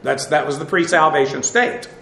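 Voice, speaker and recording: A man with a notably high voice teaches through a sermon verse by verse, pausing often on fillers and restarting his phrases. He is recorded in a reverberant church hall. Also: creaky, sharp tone. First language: English. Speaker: pastor. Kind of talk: expository sermon. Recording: echoey room.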